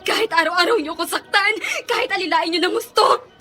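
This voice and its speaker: Meek young filipino waitress in a radio soap, really high bright voice, earnest and pleading. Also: female voice, a little fast, soft background music. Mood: hurt, pleading. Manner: tearful, pleading, polite. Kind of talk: melodramatic radio drama acting.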